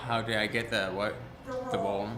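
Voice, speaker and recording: A man in his twenties, an actor, answering interview questions haltingly, often asking for them to be repeated. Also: lowish voice, very animated, gravelly, reverberant, crowd noise. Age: 20s.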